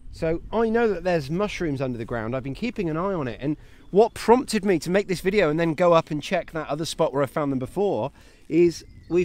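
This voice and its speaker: A chatty man in his thirties, his voice sitting a little high, talks eagerly while he walks through an open field. The phone is held at arm's length, and there is no room echo around his voice. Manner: chatty and enthusiastic, talking while walking. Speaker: homesteading channel host. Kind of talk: walking vlog, mushroom foraging tips. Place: outdoors in a grassy paddock.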